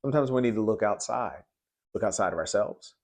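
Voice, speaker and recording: A man giving informal Bible commentary in a halting, conversational way, often repeating words as he goes. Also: lowish voice.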